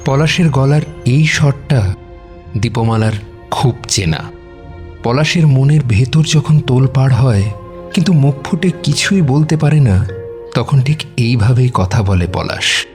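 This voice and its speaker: A male narrator reads a scripted romantic story with dramatic phrasing. His medium-pitched voice moves expressively up and down over faint background music. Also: lively intonation, measured pace, darkish tone.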